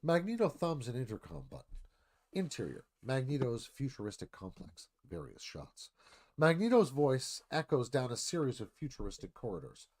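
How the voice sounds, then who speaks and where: A man's voice: mid-range voice, sing-song, moderate pace, darkish tone. A man narrating a screenplay, reading action and stage directions as dramatic scripted narration.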